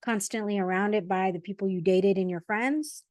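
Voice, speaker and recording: A woman hosting a podcast interview, a lively storyteller who mixes Spanish words into her English. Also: medium pitch, normal speed, no echo, studio quality. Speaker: podcast host. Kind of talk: casual interview chat.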